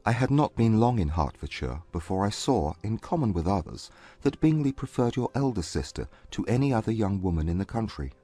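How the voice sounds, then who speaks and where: An actor playing a proud, haughty young Regency gentleman in a dramatized audiobook, speaking in a formal, composed, measured way. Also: lowish voice, big pitch swings, husky. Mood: measured explaining. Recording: dry close recording with music behind. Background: music.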